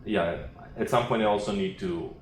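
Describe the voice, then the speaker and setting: A man with a very low voice answers questions after a research talk. He explains off the cuff, haltingly, working out his reasoning as he goes. The room adds clear echo and a faint layer of noise.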